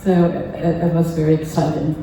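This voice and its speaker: An older woman from Japan, a native Japanese speaker with a Japanese accent, gives a halting, earnest personal talk as a lay speaker at a temple service. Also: low-pitched, flat delivery, vocal fry, warm tone. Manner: halting, earnest, pausing often mid-phrase. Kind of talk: personal testimony talk to a congregation. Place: temple hall during a service. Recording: echoey room, distant mic, faint noise.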